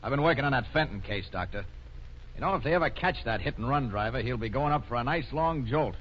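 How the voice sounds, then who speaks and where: An actor playing a brisk, folksy highway patrol officer in a 1930s radio drama, casual, slangy and matter-of-fact. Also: male voice, animated, close to an everyday voice. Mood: casual, probing. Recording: muffled, noisy 1930s radio recording.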